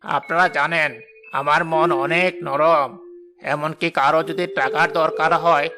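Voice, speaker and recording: A man's voice: on the high side, fairly even intonation, measured pace, pushed and bright. A man voicing a greedy, bossy village headman in a cartoon, with an unctuous manner. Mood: falsely kind. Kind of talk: cartoon voice acting. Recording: music behind the voice. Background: background music.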